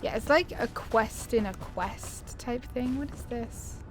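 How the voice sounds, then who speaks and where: Girl doing a lets play, highish voice, casual and chatty, thinking out loud. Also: unhurried, faint music behind. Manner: chatty, informal, thinking aloud. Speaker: gaming video host. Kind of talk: casual let's play commentary.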